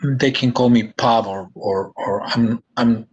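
A man's voice: mid-range voice, measured pace, darkish tone, muffled recording, a little hiss or hum. A young man from Serbia with a Serbian accent, introducing himself as a student on a video call, hesitant with many fillers. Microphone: video call webcam.

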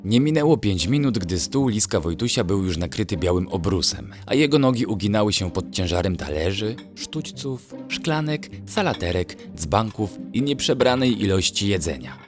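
A man with a low voice narrates a children's bedtime tale over music. His delivery is scripted and moves widely in pitch, as a storyteller's does. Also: big pitch swings, moderate pace, few pauses. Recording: music under the voice.

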